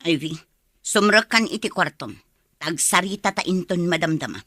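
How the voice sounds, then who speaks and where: A woman's voice: fairly low pitch, moderate pace, fairly bright tone, no echo. Stern, defiant mother, dry studio. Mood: angry, defiant. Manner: curt, scolding. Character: defiant, vindictive middle-aged mother, curt and stern. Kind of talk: radio drama acting.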